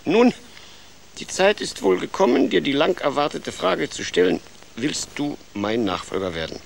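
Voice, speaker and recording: A man's voice: on the high side, wildly swooping pitch, moderate pace, vocal fry, slight background noise, exaggerated voice. A man playing a proud, pompous old grandfather rat who leads the clan in a children's radio play. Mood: solemn, pompous. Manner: pompous, theatrical, indignant.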